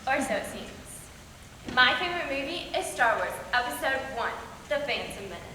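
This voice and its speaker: A sweet, innocent young Southern belle, played by a woman, with a put-on Southern drawl in a high voice. The hall is very echoey, with clear background noise. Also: big pitch swings, moderate pace. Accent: put-on American Southern.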